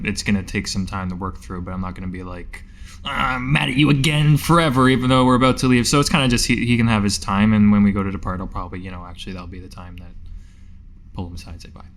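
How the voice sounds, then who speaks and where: A man chatting casually as a player on a tabletop game podcast, rambling and slangy. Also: lowish voice. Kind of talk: casual chat.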